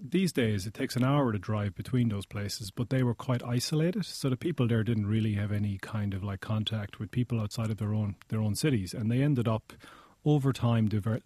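An Irish man being interviewed in a studio, explaining things in a measured way. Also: medium pitch, dark tone, slightly rough, dry recording. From Ireland. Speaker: interview guest, journalist. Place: radio studio.

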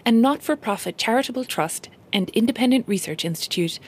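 A woman hosting a radio science show, presenting and interviewing clearly. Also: on the high side, expressive, brisk.

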